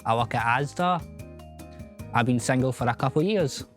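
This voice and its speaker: A man's voice: medium pitch, a bit bright, soft background music. Hesitant young Scottish man. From Scotland. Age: 20s. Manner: hesitant, halting. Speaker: contestant.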